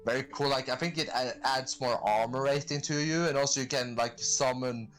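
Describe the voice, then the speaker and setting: A man chats on a podcast at an ordinary middle pitch, rambling and stopping to hunt for words. Faint music plays behind him. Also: steady tone, normal speed, fairly bright tone. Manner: rambling, hesitant, many fillers. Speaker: podcast guest and streamer. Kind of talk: casual chat.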